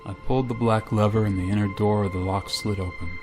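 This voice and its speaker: Weary space pilot, male actor, radio drama. Fairly low, measured and somber, light music bed. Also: fairly even intonation, lightly acted. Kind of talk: radio drama acting and first-person narration.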